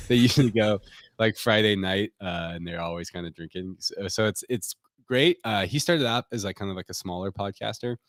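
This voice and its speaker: A man with a deep voice talks casually as a guest explaining his company's product. His phrasing is informal, padded with loose hedging phrases. The sound is dry, with very little room in it. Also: dry recording. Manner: informal, hedging with frequent "like" and "kind of". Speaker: tech company representative, podcast guest. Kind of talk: casual product talk in an interview. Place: remote recording on a live stream call.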